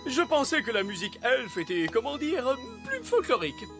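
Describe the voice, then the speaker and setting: A woman playing a formal, condescending visiting queen in a children's cartoon, with measured, posh manners. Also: sits high, sing-song. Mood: polite, condescending. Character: refined, condescending visiting royal, easily delighted. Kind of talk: dubbed children's cartoon acting. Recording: music behind the voice.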